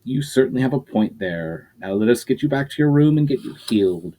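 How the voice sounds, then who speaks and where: A man voicing a calm, wise nonhuman male mentor, in a measured, explanatory storybook reading voice. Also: medium pitch, lightly acted. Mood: reassuring.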